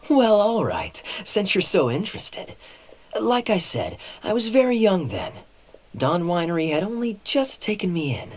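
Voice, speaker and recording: A man playing a suave, sly young knight captain in a video game, teasing and evasive, with smooth theatrical irony. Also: on the high side, a little hiss or hum. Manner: smooth, theatrical, playfully ironic. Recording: soft music behind the voice.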